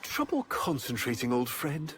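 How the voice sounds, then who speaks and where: An actor playing a cruel, ageless aristocratic ruler in a video game, speaking pompously and deliberately with coldly gloating menace and a breathy edge. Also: male voice, medium pitch, wildly swooping pitch, a little hiss or hum. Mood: taunting. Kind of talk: theatrical video game villain acting and monologue. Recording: voice under loud background ambience.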